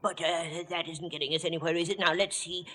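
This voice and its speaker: An actor playing a fussy, politely businesslike retributionist in 1940s radio style, hesitant and precise, with a husky, high voice for a man. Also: fairly even intonation, quick pace, pushed and bright. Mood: fussy, patient. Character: fussy, genial, eerily businesslike male retributionist. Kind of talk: 1940s radio mystery acting.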